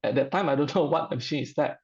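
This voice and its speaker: A middle-aged man with a Malaysian accent, giving an informal personal testimonial in a halting, hesitant way. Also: mid-range voice, darkish tone, slightly rough, lo-fi sound.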